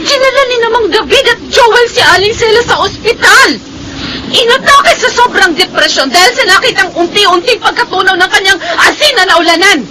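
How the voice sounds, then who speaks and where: An actress with a Filipino accent playing a caring, reproachful village older sister in an emotional radio drama. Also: female voice, extremely high pitch, expressive, moderate pace, over a noisy crowd. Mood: reproachful, upset. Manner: pleading, emotional, scolding. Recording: noisy, busy background ambience.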